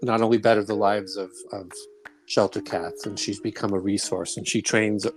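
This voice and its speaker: A man's voice: fairly low pitch, steady tone, normal speed, faint music behind. Chatty middle-aged podcast host man. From Brooklyn, New York. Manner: chatty and rambling, with laughs. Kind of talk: casual chat and interview.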